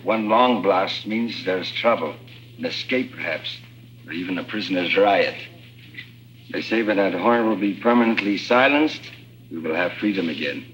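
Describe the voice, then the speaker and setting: An actor playing an earnest, secretive prisoner in a labour camp, with grave, formal phrasing in 1950s radio drama style. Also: male voice, low-pitched, animated, normal speed, fairly bright tone, a little hiss or hum. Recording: dull vintage radio recording, music behind.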